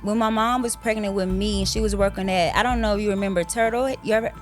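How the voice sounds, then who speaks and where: Female interview guest, Atlanta Southern accent, mid-pitched, informal and slangy, music playing behind her. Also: steady tone, fast. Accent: Atlanta Southern American. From Atlanta, USA. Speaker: interview guest, fashion designer and artist. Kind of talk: casual interview chat.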